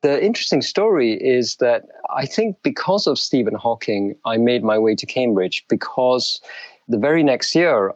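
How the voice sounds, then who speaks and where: A middle-aged man from Malaysia recounts his upbringing as an interview guest, measured and reflective, pausing to restart his sentences. The recording is dry and a little muffled, with no room sound. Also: medium pitch, a little mellow, no room sound. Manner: measured, thoughtful, with frequent restarts.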